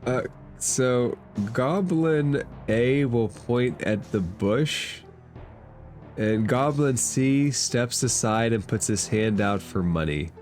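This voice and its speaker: A man with a fairly low voice runs a tabletop game as game master. He describes what happens and puts questions to the players. Soft music sits in the background. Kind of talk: running a tabletop game, narrating and prompting players. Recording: music playing softly behind. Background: faint background music.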